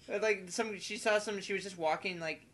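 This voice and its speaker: A young man in casual, rambling podcast chat with friends, telling stories in an excitable, giggly way and acting out what people said. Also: high voice, a little fast. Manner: excitable, giggly storytelling with acted-out quotes. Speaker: podcast host and video maker.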